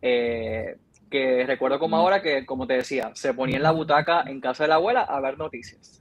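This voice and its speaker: A man in his mid-twenties speaks with a Puerto Rican accent in a dry, close recording. His pitch is medium and he answers as an interview guest, reflective and earnest in a conversational way. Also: a little fast, no room sound, highs cut off. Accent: Puerto Rican Spanish. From Puerto Rico. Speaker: interview guest, journalist and TV reporter.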